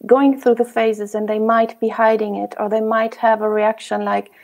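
A woman's voice: fairly high pitch, level tone, normal speed, a little mellow. A woman hosting an interview on a video call, asking questions and adding her own experience. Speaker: host.

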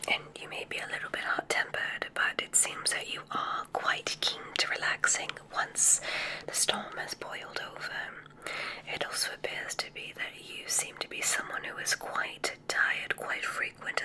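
A woman playing a kindly witch examiner at a magic school in a whispered ASMR roleplay, gentle and encouraging. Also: unhurried, rough recording. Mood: soothing, pleased.

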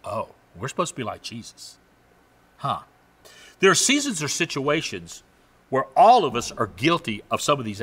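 Expressive Southern American pastor, bright voice. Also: male voice, mid-range voice, husky. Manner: expressive, self-mocking preaching with sudden loud emphasis. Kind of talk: sermon. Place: studio set with stage backdrop.

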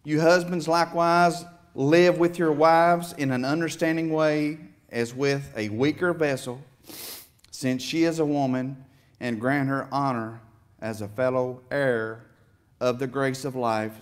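A man's voice: medium pitch, steady tone, measured pace. A middle-aged man preaching a heartfelt testimony, with emphatic swells and an emotional edge. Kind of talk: sermon testimony. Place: church sanctuary with stage. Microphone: far camera.

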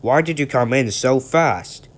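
A man's voice: medium pitch, expressive, a little slow, husky, pitched above the performer's own voice. A man voicing a stern, portly older railway director in a storybook, firm and lecturing.

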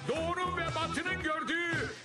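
A goofy cartoon elephant, extremely high in pitch. He is a carefree clown who exclaims like a showman over loud music. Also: steady tone, moderate pace. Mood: grand, showy. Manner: clownish, exclaiming, theatrical announcing. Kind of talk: cartoon voice acting.

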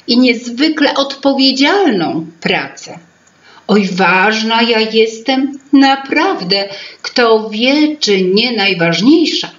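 A woman's voice: fairly high pitch, expressive, slow, dull audio, pitched above the performer's own voice. A woman voicing a proud, bustling female fairy-tale sprite who keeps people's memories. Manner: self-important, bustling, musing.

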